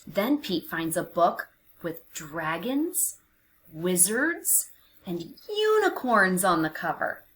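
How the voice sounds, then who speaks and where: A woman narrating a picture book for young children, expressive and deliberate, with dramatic emphasis and hushed phrases. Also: on the low side, rough recording. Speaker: children's read-aloud narrator.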